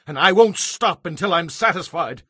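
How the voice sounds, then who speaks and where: Casting: vengeful ancient strongman, high forceful voice, declamatory audio-scripture drama, very dry sound. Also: lively intonation, moderate pace, no echo. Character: Samson, fierce, vengeful strongman of ancient Israel.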